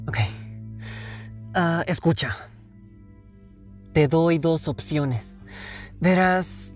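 An actor playing a sly, sarcastic fox rebel leader in a cartoon fan dub, deliberate and mock-polite. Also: mid-range voice, a little mellow. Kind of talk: animated series fan dub.

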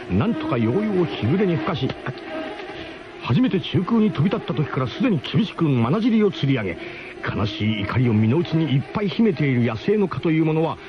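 A man tells a comic radio tale with mock-grandiose emphasis, his pitch moving in lively swings. The broadcast recording is dull and muffled, with clear noise behind the voice. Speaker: narrator of a radio drama. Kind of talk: dramatic comic first-person radio storytelling.

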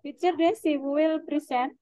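A woman's voice: high-pitched, steady tone, moderate pace. A woman teacher hosts a class video call, graciously thanking the guests. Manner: gracious, thanks speakers warmly. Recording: dull video-call audio.

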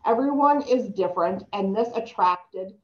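A woman's voice: medium pitch, lively intonation, normal speed. Young woman presenter on a video call, slight lisp, reads slides aloud with stumbles, somewhat dull laptop-mic sound. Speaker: presenter reading an article aloud.

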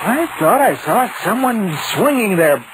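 A man's voice: sits high, very animated, measured pace. An actor playing an uneasy, earnest young man in an old radio horror drama. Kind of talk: 1950s radio drama acting. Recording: noisy old radio recording.

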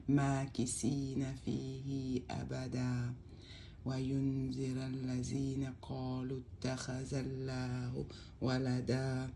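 Gravelly voice reading scripture slow and steady, punches key words, faint room hum. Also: medium pitch. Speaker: religious storyteller. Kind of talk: scripture recitation and translation.

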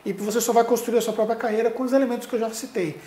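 A man's voice: very high voice, steady tone, rapid-fire, mellow, a little hiss or hum. A middle-aged man with a Brazilian accent, giving earnest advice straight to the viewer as a public speaking coach, recorded on a lapel mic in a studio. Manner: earnest, addresses viewer directly, gestures a lot while talking. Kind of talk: direct-to-camera advice video. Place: indoor studio with plain light backdrop. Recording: roomy echo.

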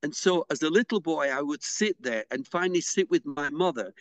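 Soft-spoken man explaining a craft. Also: on the high side, expressive, brisk, no room sound. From England. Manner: hesitant, with fillers and restarts. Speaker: pysanky artist and interviewee. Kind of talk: unhurried explanatory interview talk.

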